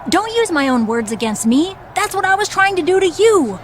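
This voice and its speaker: A woman's voice: very high voice, very animated, normal speed, a little hiss or hum. A woman playing a bossy, seasoned wrestling legend in video game dialogue, firm, matter-of-fact and wry.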